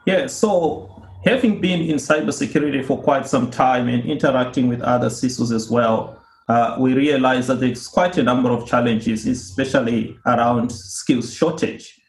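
A man from Zimbabwe, a podcast guest, giving earnest, measured answers with frequent small pauses. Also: medium pitch, reverberant. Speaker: guest, cybersecurity author and institute co-founder.

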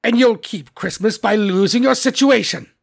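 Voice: mid-range voice, animated, slow pace, gravelly, slightly rough, exaggerated voice. A narrator voicing a cold, bitter old miser uncle who is scornful and indignant, with a stern growl, in a storybook reading.

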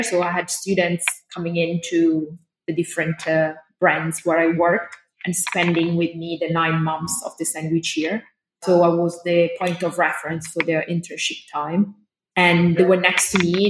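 A woman with an Italian accent speaking as a guest in a podcast interview, chatty and enthusiastic, with frequent filler pauses. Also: lowish voice. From Italy. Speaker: guest, fashion business lecturer. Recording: roomy with faint noise.

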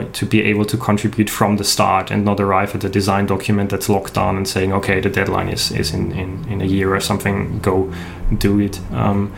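A man's voice: fairly low pitch, creaky, slight background noise. A man from Austria, a native German speaker with an Austrian German accent, a game studio founder talking through an interview in a thoughtful, hesitant way with frequent restarts. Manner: thoughtful, hesitant with many restarts, laughs often. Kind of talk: casual interview talk. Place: home in Australia.

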